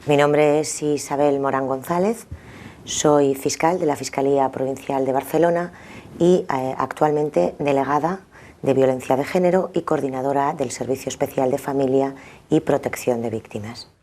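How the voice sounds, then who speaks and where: A woman's voice: a bass voice, normal speed, dark tone. A woman prosecutor introducing herself formally in an interview. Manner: formal, reciting titles. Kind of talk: documentary interview.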